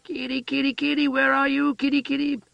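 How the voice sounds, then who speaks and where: A man voicing an excitable, childlike village woman who shouts and chatters eagerly. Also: extremely high pitch, fairly quick, gravelly, dry recording, voice pushed up, full character voice. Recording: dull lo-fi dry recording.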